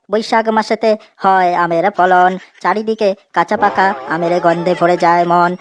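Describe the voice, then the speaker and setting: A woman's voice: on the low side, lightly acted. A woman voicing a cheeky, dim schoolboy in a comedy cartoon, talking in a naive, wheedling, colloquial way. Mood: proud, reciting. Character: cheeky dim schoolboy, a self-styled poet.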